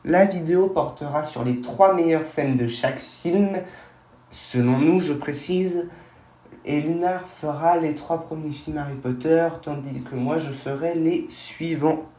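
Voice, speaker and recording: Male co-host with a high, mellow voice, plain explaining tone, recorded in an echoey room. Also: unhurried. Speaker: film video co-host. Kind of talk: casual video introduction.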